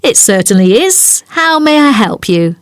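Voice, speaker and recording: A woman's voice: fairly high pitch, big pitch swings, a little slow, no room sound, studio quality. A woman playing a polite, helpful job centre agent in a scripted language-test dialogue, courteous and businesslike.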